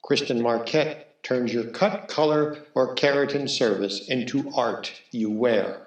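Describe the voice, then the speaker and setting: A man reading a scripted broadcast announcement as a radio theatre announcer, formal and measured. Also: mid-range voice. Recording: roomy, echoing sound.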